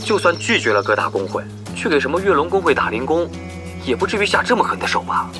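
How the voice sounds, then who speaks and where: Casting: excitable young male gamer, high-pitched and lively, easily exasperated, radio drama with music underneath. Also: quick pace. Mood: puzzled, reporting. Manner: exclamatory, animated. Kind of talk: Chinese radio drama acting.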